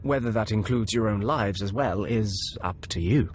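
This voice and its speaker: An actor playing a menacing rival thug in a video game, articulate, theatrical and mock-polite. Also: male voice, lowish voice, moderate pace, slight background noise, lightly acted. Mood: smug threatening. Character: smug, menacing rival thug with eloquent threats. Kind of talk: video game villain acting.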